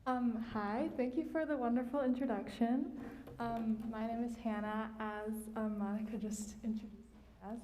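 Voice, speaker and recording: High school girl talking about her art, kinda high mellow voice, lots of ums. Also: steady tone, normal speed, slight background noise. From United States (Indiana). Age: late teens. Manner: many ums, soft and modest. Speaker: student artist presenting her work. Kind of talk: hesitant personal presentation.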